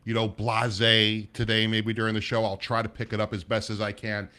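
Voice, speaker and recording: A middle-aged man hosting a casual sports talk show, his voice a little raspy, rambling with many restarts and sounding sluggish. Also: on the low side, monotone, fairly quick, pushed and bright. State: sluggish, low energy. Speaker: sports radio host.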